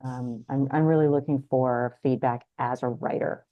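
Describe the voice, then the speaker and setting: A woman's voice: very deep voice, fairly even intonation, moderate pace, dry recording, highs cut off. A woman screenwriter talking casually and a little hesitantly as she introduces her work. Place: video call.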